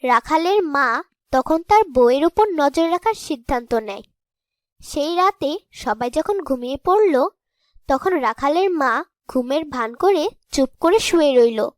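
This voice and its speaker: High-voiced woman telling a fairy tale. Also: lively intonation, a little slow, no room sound. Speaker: storyteller. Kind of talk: scripted fairy-tale narration.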